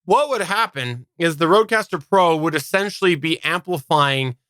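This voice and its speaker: Bright, crisp-voiced man chatting. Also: on the high side, lively intonation, normal speed, dry recording. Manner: discursive, qualifies his points with asides. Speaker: podcast host. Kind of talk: casual technical explainer chat. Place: quiet, dry home studio. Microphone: close studio microphone through preamp.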